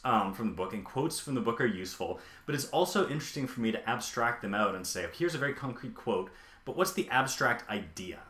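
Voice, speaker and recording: A man's voice: lowish voice, bright, forceful tone. A man in his thirties live coding on a stream, thinking aloud with many ums and answering chat questions. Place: home office, indoors. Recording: echoey room.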